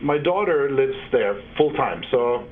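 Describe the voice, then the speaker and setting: A man's voice: mid-range voice, lively intonation. Man on a tinny call. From Norway. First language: Norwegian. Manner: halting, many fillers. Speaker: guest. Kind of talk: interview over a video call. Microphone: video call. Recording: tinny internet call line.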